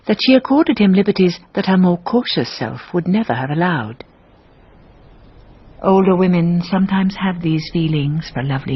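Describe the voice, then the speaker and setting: A woman's voice: fairly low pitch, husky, highs cut off. Wry female fairy-tale narrator over faint music.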